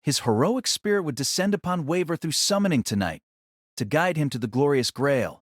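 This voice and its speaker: Animated male narrator, fairly high voice. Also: moderate pace, no room sound, clean studio sound. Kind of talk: dramatic scripted light novel narration.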